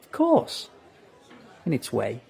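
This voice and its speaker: A man playing a patient, encouraging sand artist in a naturalistic audio drama, with a husky voice. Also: medium pitch, wildly swooping pitch, takes it slowly, dark tone, exaggerated voice. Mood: gentle, reassuring.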